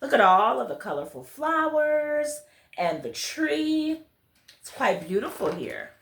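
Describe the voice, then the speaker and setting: Female children's storyteller, higher-pitched and very animated, chatty asides to young listeners, roomy echo. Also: measured pace. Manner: addresses children directly with questions and exclamations. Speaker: children's read-along storyteller, host. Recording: roomy, echoey sound.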